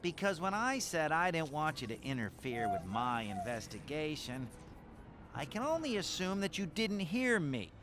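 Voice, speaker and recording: An actor voicing an exasperated, officious alien police inspector in an animated series, with clipped sarcasm. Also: male voice, a little high, moderate pace, noisy background. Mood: exasperated, sarcastic.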